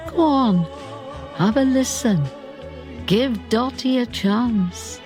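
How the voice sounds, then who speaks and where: A woman playing an ageing, proud and camp Welsh singing star, speaking theatrically with dramatic pauses in a radio play. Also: a little high. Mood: grand. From Wales. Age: older. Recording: music behind the voice.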